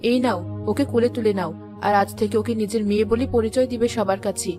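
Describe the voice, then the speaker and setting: Gentle young queen, lower-toned played by a woman. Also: fairly even intonation, quick pace, music in the background, close to an everyday voice. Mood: tender resolve.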